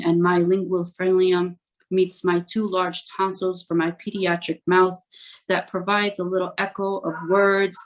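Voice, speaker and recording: A woman reading her own spoken word poetry in a lowish voice. She keeps her pitch quite steady and flat instead of letting it swing. She sets each short phrase down in a measured, deliberate way. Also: rough recording. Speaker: poet.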